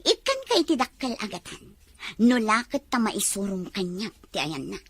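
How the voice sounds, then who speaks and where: A woman's voice: medium pitch, big pitch swings, normal speed, slightly rough. An actress playing a demanding, jealous wealthy woman who gives orders and pleads. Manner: bossy, emotional, dramatic.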